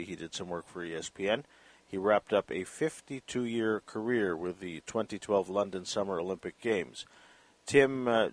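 A man's voice: deep voice, dry recording. A man hosting an interview program, reading a long guest introduction and asking questions with many fillers and restarts. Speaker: podcast host. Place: Vancouver.

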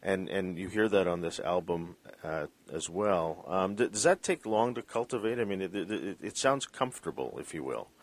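A male interview host with a deep, low voice. He circles around his questions, stumbling over restarts and fillers before he lands them. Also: expressive, moderate pace, few pauses. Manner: hesitant, full of restarts and fillers. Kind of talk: conversational interview.

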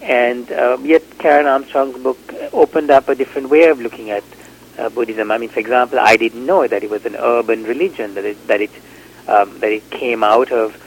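A man's voice: medium pitch, steady tone, fairly quick, creaky, slight background noise, poor audio. A man from Sri Lanka, a novelist, answering interview questions in a reflective, hesitant way with many fillers and restarts.

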